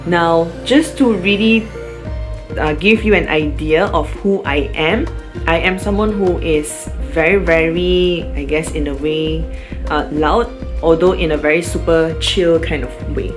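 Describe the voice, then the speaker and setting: A woman's voice: deep voice, a little slow. A young woman with a Malaysian accent, talking about herself in a casual, rambling vlog with lots of fillers. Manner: chatty, rambling with fillers and restarts. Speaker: vlogger. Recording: music playing underneath.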